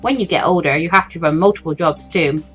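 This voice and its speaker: Woman as easygoing working man, visual novel character, lowered pitch, casual, teasing, soft music underneath. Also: fast talker, slightly rough. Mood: amused, blunt. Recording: music behind the voice.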